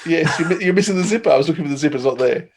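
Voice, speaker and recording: A man's voice: fairly high pitch, expressive, very fast, husky. A man hosting a podcast, chatting casually as he interviews a guest.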